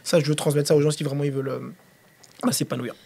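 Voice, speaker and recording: A man's voice: fairly high pitch, fairly even intonation, fast talker, dark tone. A young man hosting a casual podcast interview, joking and teasing his guest and laughing a lot. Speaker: podcast host.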